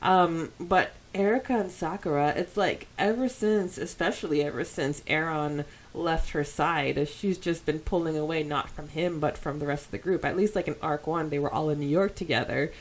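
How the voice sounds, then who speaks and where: A woman with a fairly low voice co-hosts a fan podcast. She talks informally, moving between reading listener comments and reacting to them in loose, conversational asides. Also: normal speed, few pauses, rough recording. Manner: informal and conversational, reacting aloud to what is read. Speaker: podcast co-host.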